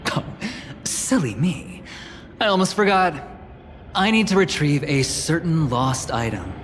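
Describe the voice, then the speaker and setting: A man playing a sly, breezy envoy in a video game, smooth-talking and airy. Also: a little high, lively intonation, a little slow, a bit bright, a little hiss or hum. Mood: airy, coy. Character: sly, breezy envoy who flatters his way out. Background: game music.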